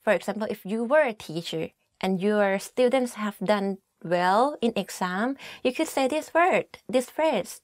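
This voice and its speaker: A woman's voice: medium pitch, animated, normal speed, few pauses, dry recording, studio quality. A woman in her twenties from Thailand with a Thai accent, giving an informal language lesson as a teacher talking to camera. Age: 20s. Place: small indoor studio. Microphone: lapel mic.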